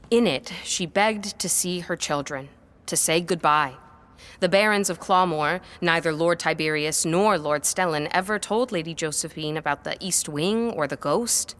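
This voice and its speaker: A woman's voice: on the low side, fairly bright tone, natural voice. A woman playing an earnest, guarded scholar giving formal testimony in an audio drama.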